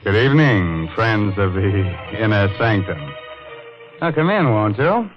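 On a dull old radio recording with music behind, a macabre, mocking male host with a lowish voice. He relishes horror in theatrical, tongue-in-cheek 1940s style. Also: big pitch swings, a little slow. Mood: ghoulishly playful. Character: ghoulishly jolly, mocking horror-show host.

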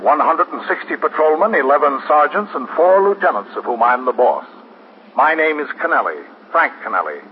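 An actor playing a calm, businesslike New York police captain who narrates a 1950s radio drama in a clipped, matter-of-fact way. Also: male voice, medium pitch, natural voice. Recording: muffled, noisy 1950s radio recording.

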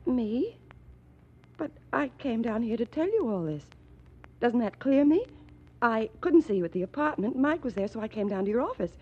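An actress playing a bitter, self-possessed widow in 1940s radio style, earnest, dramatic and husky. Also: female voice, fairly high pitch, big pitch swings, normal speed. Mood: anxious, pleading. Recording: muffled 1940s radio recording.

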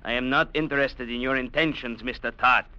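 An actor playing a domineering, sarcastic colonial trading captain in an old radio drama. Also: male voice, mid-range voice, steady tone, brisk, pushed and bright. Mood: bullying, irritated. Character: sneering, bullying colonial trading agent captain, a man. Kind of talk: 1940s-50s radio drama acting. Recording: muffled, hissy old radio broadcast.

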